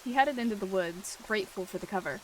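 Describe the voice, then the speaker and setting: A woman's voice: mid-range voice, quick pace, slight background noise, poor audio. A woman hosting and narrating a roleplay story, casual with fillers when speaking to viewers.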